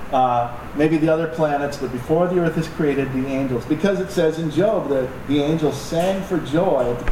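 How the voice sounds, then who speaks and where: Joking pastor in an echoey hall. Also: male voice, on the high side. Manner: conversational and joking, with asides to the congregation. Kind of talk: sermon. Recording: echoey room, distant mic, noisy.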